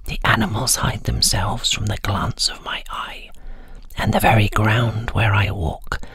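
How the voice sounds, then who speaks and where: A woman's voice: very deep voice, level tone, a little slow, pitched below the performer's own voice. Woman acting a whispering, boastful old winter spirit.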